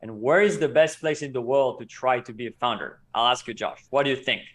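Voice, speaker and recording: Measured man explaining his career. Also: medium pitch, fairly bright tone. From Israel. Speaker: interview guest, company co-founder. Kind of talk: casual interview talk.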